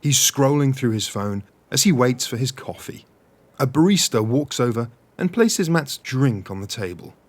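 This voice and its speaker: An actor playing an earnest director at a table read, narrating a script in an audio comedy. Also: male voice, mid-range voice, lively intonation, normal speed, lightly acted.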